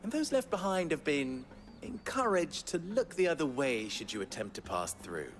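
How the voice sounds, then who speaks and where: Sly dry-witted fixer, lively sardonic lilt, game music under;. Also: male voice, on the high side, moderate pace. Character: wry sly male merchant fixer, teasing. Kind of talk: video game cutscene acting. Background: game score.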